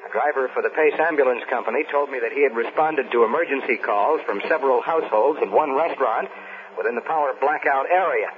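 Man acting as a serious radio newsman, 1970s drama, formal phrasing, phone-like sound, clear line noise. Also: medium pitch, fast, close to an everyday voice. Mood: grave, factual. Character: serious male field reporter on a hospital radio report. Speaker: news reporter. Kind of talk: 1970s radio news report.

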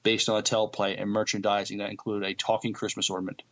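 A man with a lowish voice co-hosts a podcast. He reads news items out loud in an informal way and adds casual remarks. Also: flat delivery, quick pace. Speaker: podcast co-host. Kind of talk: reading news items aloud, with casual comment.

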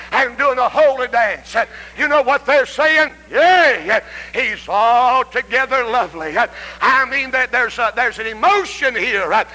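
A man with a Southern American accent preaching a fervent old-time revival sermon, emphatic, swinging between cries and hushed pleading, punctuating phrases with a rhythmic "uh". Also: very high voice, poor audio.

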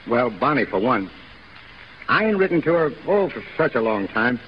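A man's voice: medium pitch, very animated, moderate pace, a little hiss or hum, close to an everyday voice. A man acting in a 1930s radio drama as a brash, hard-drinking burlesque comedian with breezy, slangy patter. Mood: shaken. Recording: muffled 1930s radio broadcast, music underneath.

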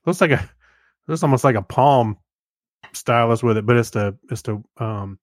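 A man in his fifties chats informally as a podcast co-host, in the loose style of someone swapping memories. His pitch is ordinary for a man, and the recording is dry with almost no echo. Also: normal speed. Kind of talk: casual chat, reminiscing.

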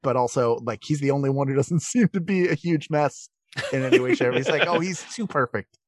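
A man's voice: mid-range voice, brisk, slightly rough, no room sound, studio quality. A man, a voice actor, telling anecdotes in a casual podcast interview.

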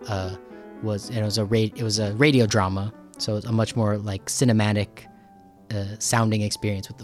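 A man hosting a podcast interview in a conversational style, halting and rambling as he thinks aloud. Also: on the low side. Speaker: podcast host and radio producer.

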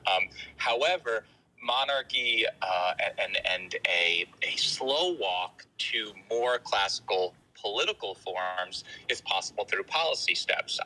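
A man calling in to a live show with a question, phrasing it haltingly and carefully with many qualifications. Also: mid-range voice, bright, forceful tone, poor audio. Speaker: caller.

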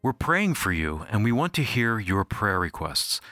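A man's voice: on the low side, very animated, unhurried, pushed and bright, dry recording, studio quality. A man announcing a radio program in a scripted style, recorded at home. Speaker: radio show announcer and co-host. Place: remote home recording, dry.

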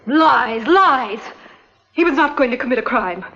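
A woman's voice: high-pitched, expressive, measured pace, sharp tone, full character voice. An actress playing a fiery, guarded young village woman in a vintage drama, on a dull, echoing recording. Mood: defiant, upset. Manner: guarded, emphatic. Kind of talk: old-time radio drama acting.

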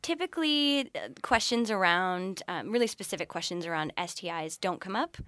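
Lower-pitched woman explaining things in conversation. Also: expressive, moderate pace, fairly bright tone, no room sound. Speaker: interview guest, sexuality educator and researcher.

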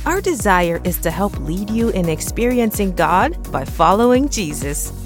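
A woman reading a scripted welcome at the start of a church podcast, in a smooth, even delivery. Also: medium pitch, unhurried. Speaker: podcast announcer. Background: music.

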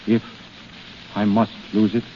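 An actor playing Death in human guise, a proud and anguished prince, in formal, theatrical 1930s radio drama style. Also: male voice, lowish voice, level tone, measured pace. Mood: sorrowful, grave. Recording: muffled 1930s radio broadcast with long reverb.

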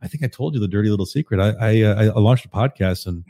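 A man with a deep, calm voice chatting casually on a podcast, relaxed and self-deprecating. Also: fast. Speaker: podcast host and guest.

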